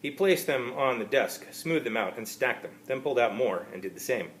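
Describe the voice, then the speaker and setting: A man narrates a first-person story as an amateur reader. His delivery is halting and wry. His pitch varies widely, giving the sentences a lot of movement. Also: animated, a bit bright, a little hiss or hum, poor audio. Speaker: author reading his own story.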